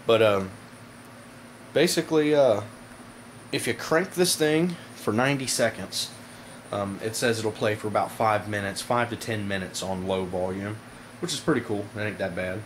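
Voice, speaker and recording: A man with a slightly low voice talks viewers through a product demonstration in a casual, informal way. His delivery halts and pauses as he goes. It sounds like an amateur home camera recording with light background noise. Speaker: product reviewer, video host. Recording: noisy amateur camera audio.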